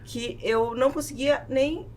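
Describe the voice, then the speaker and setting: A woman with a southern Brazilian accent telling stories in an animated, casual podcast conversation, acting out what people said. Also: sits high, a little slow, a little hiss or hum. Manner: animated storytelling, acts out quoted remarks. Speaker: podcast guest, business owner.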